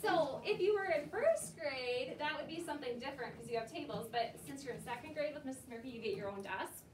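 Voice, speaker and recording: Female primary teacher, high voice, questioning and echoing pupils' answers; distant mic in a noisy room. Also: lively intonation, a little fast. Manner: instructive, often repeating pupils' answers. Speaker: elementary school teacher. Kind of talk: classroom read-aloud discussion with questions to children. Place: indoor school classroom.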